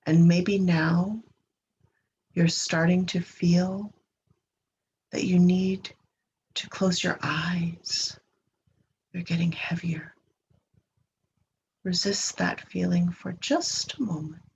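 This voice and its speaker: Slow, creaky-voiced woman guiding meditation. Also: on the low side, steady tone. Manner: gentle, unhurried, with long pauses between short phrases. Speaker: guided meditation host. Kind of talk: guided meditation, slow soothing instruction. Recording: dull lo-fi recording with clear background noise.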